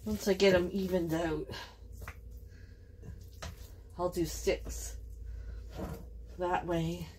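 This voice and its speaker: A middle-aged woman with a Canadian accent, chatting to viewers in a relaxed, rambling way while cooking in her home kitchen. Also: on the low side, takes it slowly, a little hiss or hum. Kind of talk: chatty kitchen vlog narration. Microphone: camera on counter.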